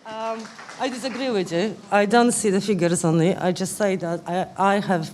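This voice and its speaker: A woman from Poland with a Polish accent answers questions as a panellist at a public debate, in earnest, halting phrases. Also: medium pitch, fairly even intonation, darkish tone, a little hiss or hum. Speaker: political panellist. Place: live public question-time event indoors.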